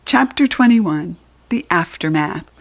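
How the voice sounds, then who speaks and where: An American woman reading a children's story aloud in an expressive, playful and informal way, as a narrator. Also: on the low side, measured pace.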